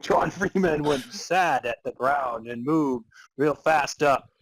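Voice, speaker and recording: A man's voice: a little high, takes it slowly, dry recording, poor audio. A man narrating a comic story in a theatrical way, prone to breaking into laughter. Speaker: narrator and podcast host. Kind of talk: dramatic reading of comic fan fiction.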